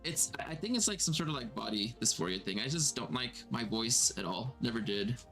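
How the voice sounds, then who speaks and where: A man hosting a casual stream, his voice processed to sound a little lower and fuller, chatting in a self-deprecating way with many fillers. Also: expressive, fairly quick, gravelly, fairly bright tone, soft background music. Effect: voice processed slightly lower and more resonant. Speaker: streamer and game master. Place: home streaming room.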